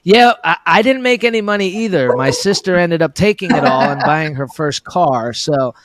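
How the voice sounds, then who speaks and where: A man's voice: fairly high pitch, animated, moderate pace, a crowd in the background. A man hosting an interview, friendly, often affirming with "right". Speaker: podcast host.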